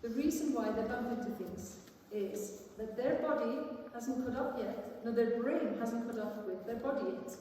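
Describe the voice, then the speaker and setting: A woman from Iceland, a music teacher explaining things to a conference audience in an informal, halting way. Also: a little high, mellow. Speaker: music teacher and viola player presenting. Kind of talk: conference talk with audience questions. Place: large indoor conference hall. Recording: echoey hall from far off, faint noise.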